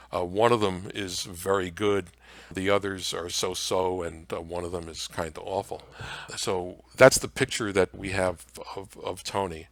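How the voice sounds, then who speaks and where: A man with a low voice gives an informal history lecture. He speaks haltingly, pausing to search for words, and the sound is dry and close. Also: fairly bright tone, no echo. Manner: halting, frequent uhs. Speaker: presenter. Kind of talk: informal slide lecture on old-time radio history.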